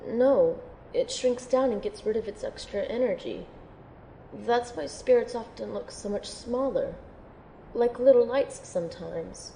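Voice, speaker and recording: A woman's voice: lively intonation, a little slow, creaky, warm tone. A woman playing a hesitant, reluctant young student of magic in an audio drama, speaking in a plain way. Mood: earnest. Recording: roomy amateur recording, faint rumbling noise.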